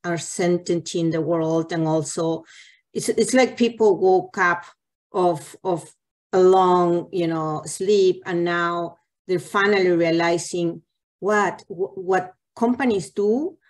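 A woman in her forties from Latin America, a marketing executive speaking on a virtual panel, halting and restarting her phrases. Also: fairly low pitch, a little mellow. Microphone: video call.

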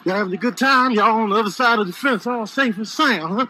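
A man voicing cocky, indignant animals in a comedic voice-over, with husky, streetwise, exasperated talk. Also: sits high, fast talker, sharp tone, slight background noise. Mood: taunting. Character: cocky, indignant trash-talking male animals.

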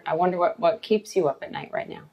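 A woman's voice: lowish voice, quick pace, dull audio. A woman interviewing a guest on television, asking probing questions and giving short affirmations while she listens.

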